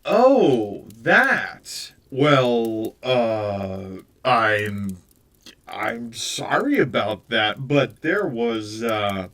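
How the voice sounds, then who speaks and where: A flustered man fumbling for excuses, stammering with hesitations and pitched a little high, as a man narrator puts him on. The voice sits a little back from the microphone. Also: very animated, normal speed, vocal fry, full character voice. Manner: stammering, full of uh fillers. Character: flustered, fast-talking man dodging blame, excuses galore. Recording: voice a bit far from the mic.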